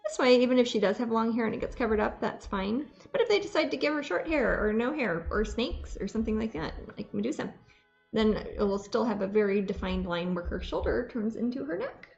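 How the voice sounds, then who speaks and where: A woman's voice: a little high, lively intonation, a little fast, vocal fry, darkish tone, dull audio, faint music behind. A woman painting on a live stream, chatting and explaining her work as she goes. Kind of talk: casual chat while painting.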